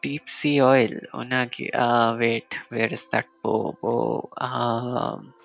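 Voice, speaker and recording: A man casually commentating a solo game live stream, muttering to himself and reading item text aloud in a halting way. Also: mid-range voice, fairly even intonation, gravelly, lo-fi sound, faint music behind.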